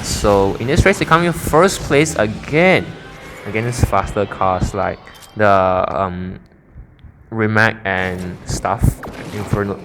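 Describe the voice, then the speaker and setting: A young man casually commenting on his own racing gameplay, rambling and correcting himself as he goes. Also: fairly low pitch. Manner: rambling, self-correcting, chatty. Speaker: gaming YouTuber. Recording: game sound loud behind voice. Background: racing game engine sounds.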